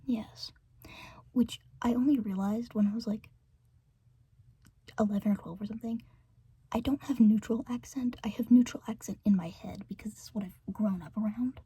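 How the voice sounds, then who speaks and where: A woman's voice: fairly high pitch, moderate pace, long pauses, husky, no room sound. An American woman whispering through a rambling, filler-filled ASMR chat, trailing off and giggling between thoughts. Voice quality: soft unvoiced whisper. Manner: meandering, filler-heavy, giggly chatter. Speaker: ASMR creator.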